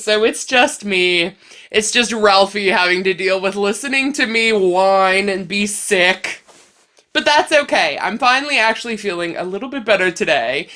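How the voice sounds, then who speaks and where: A woman's voice: mid-range voice, sharp tone. A woman hosting a crochet vlog in a casual, playful, chatty way, sounding slightly stuffed up with a cold. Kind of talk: casual chatty talk to camera.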